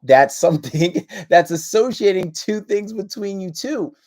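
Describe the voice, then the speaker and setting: A man's voice: high voice, expressive, unhurried, darkish tone. A man in his thirties hosting a casual livestream chat, talking in a laid-back, drawling way that trails off and restarts. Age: 30s. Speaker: livestream host.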